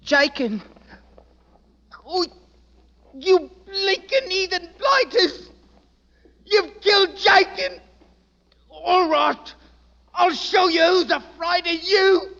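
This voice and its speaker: A man's voice: very high voice, lively intonation, slow pace, pauses often, a bit bright, full character voice. An actor playing a defiant, grief-stricken British army boy in an old radio drama. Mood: anguished rage. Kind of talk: 1940s radio drama acting. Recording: dull old radio recording, reverberant.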